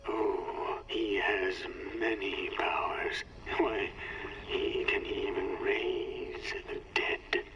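Fanatical preacher caller, slow ominous fervent speech, dull radio sound, video game cutscene. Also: male voice, deep voice, little pitch movement. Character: fanatical doomsaying preacher man, whispering to ranting. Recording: muffled noisy radio call.

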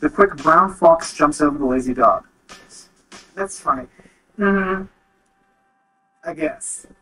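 A man's voice: a little high, takes it slowly, sharp tone, low-quality recording. A synthetic male voice reading a short text sample.

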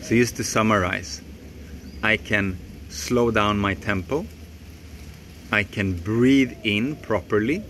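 A young man whose first language is Swedish talks straight into a camera held at arm's length, teaching outdoors in a tropical garden. His pace is very slow and unhurried, with long, deliberate pauses. His voice sits a little low and stays calm and even. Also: a little hiss or hum. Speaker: voice coach.

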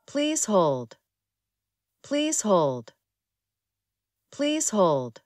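A courteous female hold operator speaks slowly with a sing-song lilt. The sound is dry and close. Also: medium pitch, very animated, darkish tone, dry recording. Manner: slow, courteous.

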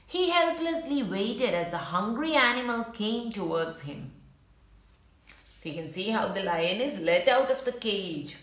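Female storybook reader, lively varied pitch, read-aloud with simple asides for children, echoey room. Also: measured pace. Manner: simple explanations addressed to young listeners. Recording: roomy, echoing room.

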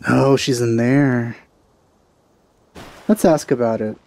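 A man's voice: medium pitch, steady tone, takes it slowly, gravelly, a little mellow. A man giving casual commentary as he plays a video game. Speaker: let's player. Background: game music.

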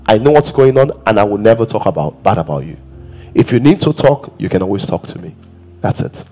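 A Nigerian man preaches from a large church stage, his slightly higher voice carrying a husky, breathy edge. He swings between quiet asides, acted-out quotes, half-sung lines and shouted exclamations. Soft music sits faintly beneath him in the live hall. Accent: Nigerian English. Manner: animated, acts out quoted voices, breaks into singing and shouting. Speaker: pastor. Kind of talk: sermon.

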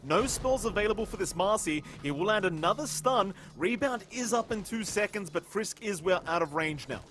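A man doing excitable play-by-play commentary on a video game match, teasing his co-caster and climbing in pitch at big plays. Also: normal speed. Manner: excitable, teasing, rises sharply in big moments. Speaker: esports caster.

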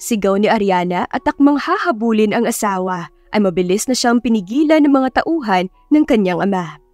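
A woman's voice: medium pitch, animated, normal speed, darkish tone, no echo. A woman narrating a scripted romance story in a dramatic audiobook style. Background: music.

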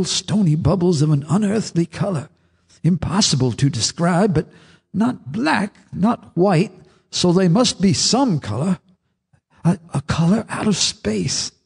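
An actor narrating a radio drama as an older farmer telling his memories in a measured, folksy way. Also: high-pitched, vocal fry, darkish tone, husky, full character voice. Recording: reverberant radio drama with music.

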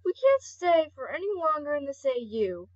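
A woman voicing a gentle, tearful, kindhearted young male skeleton guardian in an earnest, pleading comic dub. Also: high voice, sing-song, moderate pace. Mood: earnest, fond. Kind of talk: amateur comic dub acting. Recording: dull lo-fi home recording.

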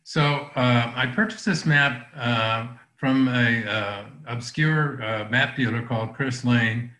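A man's voice: mid-range voice, slow pace, bright, forceful tone. A man giving an online talk about a map, speaking hesitantly with many fillers. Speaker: presenter. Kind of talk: online lecture. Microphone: video call. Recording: roomy echo on call audio.

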